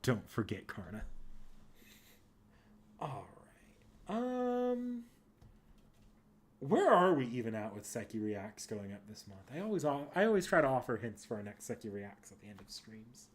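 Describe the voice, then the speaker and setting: A man's voice: mid-range voice, sing-song, normal speed, long pauses. A man hosting a casual livestream, chatting with viewers in a rambling way, thinking aloud and often correcting himself. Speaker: streamer and host.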